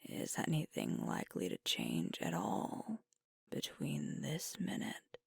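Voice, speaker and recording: A woman acting as a sweet alpha werewolf girlfriend in an intimate ASMR roleplay. She speaks in a very breathy whisper, slowly and with deliberate pauses. Her tone is protective and gently firm, coaxing and soothing, recorded close and dry. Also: sits low, huge pitch swings, dry recording, exaggerated voice.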